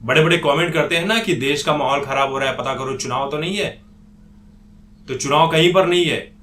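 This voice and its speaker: A man's voice: fairly high pitch, a little fast, sharp tone, a little hiss or hum. A man giving an opinionated political commentary monologue in an indignant, accusatory manner. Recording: echoey room.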